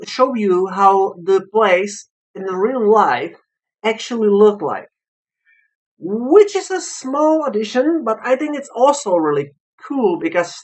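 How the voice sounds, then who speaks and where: A reviewer with a foreign accent talks casually and without a script over game footage. The delivery is slow and hesitant, often pausing to search for words. The pitch sits in a middle range, and the phrasing is plainly that of a non-native speaker. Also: low-quality recording. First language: not English. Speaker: visual novel reviewer.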